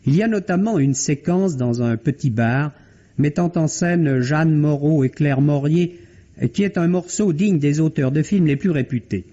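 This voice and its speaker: Male radio film critic, 1950s French style. Articulate, literary, soft-edged tone, muffled vintage sound. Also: fairly high pitch, normal speed. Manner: articulate, formal, literary phrasing. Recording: dull, band-limited vintage radio recording.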